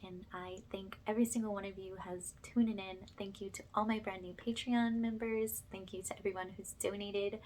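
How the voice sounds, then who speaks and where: A woman with a soft, breathy voice that often drops to a whisper, gently leading a soothing spiritual ASMR session in a reassuring way. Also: mid-range voice, a little hiss or hum. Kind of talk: ASMR, soothing guided energy cleanse.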